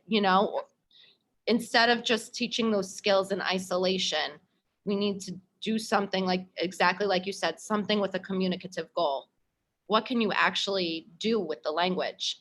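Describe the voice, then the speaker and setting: A woman's voice: on the low side, a bit bright. A woman language teacher presenting a webinar workshop, talking casually and eagerly, restarting sentences with "like.".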